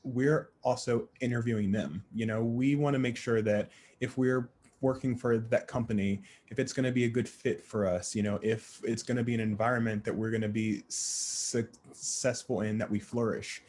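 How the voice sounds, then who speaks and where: A man moderating a panel discussion in a friendly, encouraging and measured way. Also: mid-range voice, fairly even intonation, darkish tone, a little hiss or hum.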